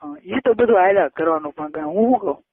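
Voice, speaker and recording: A young man with a Gujarati accent chats on a tinny phone line. His voice is high and creaky, and he keeps pressing and coaxing in an informal, private way. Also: expressive, quick pace, no room sound. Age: 20s. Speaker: caller. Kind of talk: casual private phone chat. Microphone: telephone line. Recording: tinny narrowband phone call recording.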